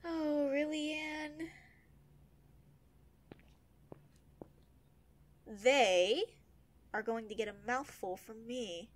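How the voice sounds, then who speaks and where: A woman's voice: a little high, slow. A woman playing a feisty, protective, motherly palace servant in an audio drama, sassy, emphatic and caring. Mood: indignant.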